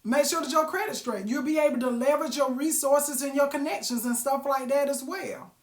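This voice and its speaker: Raspy woman giving a folksy pep talk. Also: high-pitched, fairly quick. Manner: preachy, folksy, asks the audience questions. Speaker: live-stream host. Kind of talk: motivational casual talk. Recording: roomy echo.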